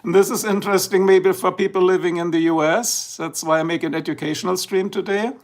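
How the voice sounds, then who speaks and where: Gravelly German-accented man on a livestream. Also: high-pitched, fairly even intonation. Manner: rambling, chatty, slow and drowsy at times. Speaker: livestream host. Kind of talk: casual live-stream chat. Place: indoors, filming a tablet slideshow.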